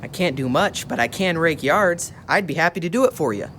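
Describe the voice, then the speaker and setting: A man's voice: a little high, slight background noise. A man playing an earnest, polite young boy, eager to help, in a puppet show. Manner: earnest, halting when excited. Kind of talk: church puppet show dialogue.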